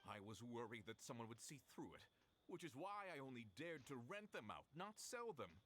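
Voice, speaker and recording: An actor playing a cornered, pleading merchant who stammers, in a video game. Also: male voice, medium pitch, big pitch swings, normal speed, a bit bright, slight background noise. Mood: anxious, pleading. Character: flustered, cornered male merchant confessing a swindle.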